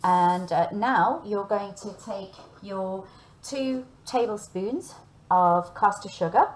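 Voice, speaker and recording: A woman giving a hands-on baking demonstration, talking learners through each step in a chatty, instructional way and often checking in. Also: mid-range voice. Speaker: cooking and baking teacher.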